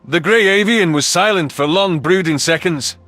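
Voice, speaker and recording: A man's voice: high voice, normal speed, sharp tone, no echo, faint music behind. A man playing a thoughtful alien soldier who narrates his own story in a scripted sci-fi audio drama. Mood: reflective, serious.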